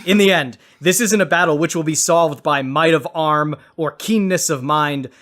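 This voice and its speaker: A man's voice: fairly high pitch, normal speed, fairly bright tone. A man moderating a comedy podcast with theatrical, mock-grandiose scripted narration.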